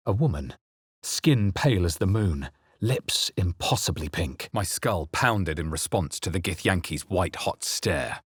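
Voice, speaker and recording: An actor playing a grave, brooding male fantasy game hero, speaking in a measured, serious and intense way. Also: deep voice, expressive, husky, no echo, clean studio sound, full character voice. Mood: grave, ominous. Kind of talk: dramatic scripted fantasy game narration and character lines.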